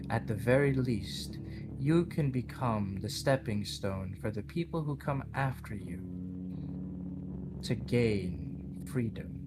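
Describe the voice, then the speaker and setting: A man's voice: mid-range voice, low-quality recording. Man playing a solemn, cryptic mysterious woman. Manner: formal, measured, cryptic phrasing. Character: mysterious woman, solemn, cryptic, sympathetic bearer of fateful gifts. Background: guitar music.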